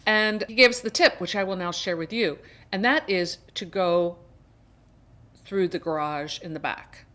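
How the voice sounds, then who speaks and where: A middle-aged woman speaks in a voice that sits a little low for a woman. She talks casually to her viewers as the host of a book vlog. Her delivery is chatty and rambling, with emphatic stresses on the words she cares about. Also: a little slow, fairly bright tone. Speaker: book reviewer and video host.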